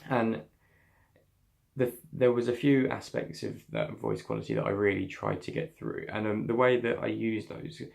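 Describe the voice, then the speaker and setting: Young man with a deep gravelly voice. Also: animated, moderate pace, darkish tone. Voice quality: slightly deep voice. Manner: thoughtful, hesitant, many restarts and fillers. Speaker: acting student. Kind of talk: reflective vlog on voice work.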